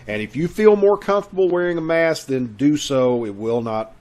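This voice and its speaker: A man's voice: a little high, expressive, normal speed, darkish tone. A man hosting a show over a video call, chatting in a folksy, casual way as he welcomes and announces. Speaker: host.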